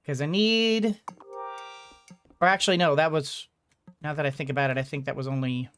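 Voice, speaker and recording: A gaming video host giving casual let's-play commentary, thinking out loud in fragments with playful asides. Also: mid-range voice, fairly even intonation, normal speed, faint music behind.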